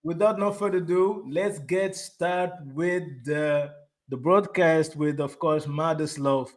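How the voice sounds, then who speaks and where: A man hosting a live online interview, excitable and repeating himself, often stopping to restart a phrase. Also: a little high. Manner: enthusiastic, repeats words, halting restarts. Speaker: host of a live online show. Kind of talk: casual interview.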